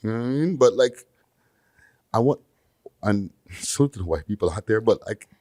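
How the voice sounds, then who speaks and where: A man talking casually in a panel discussion, conversational and slangy, quick to laugh. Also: on the low side, lively intonation, a little mellow, no room sound, studio quality. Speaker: podcast panelist.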